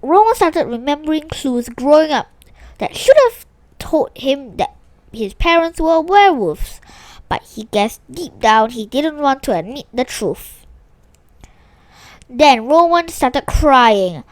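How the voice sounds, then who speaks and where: A woman reading a children's story aloud with animated expression, now and then stumbling over a word. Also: high-pitched, slow pace, dry recording. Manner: animated, with small stumbles and restarts while reading.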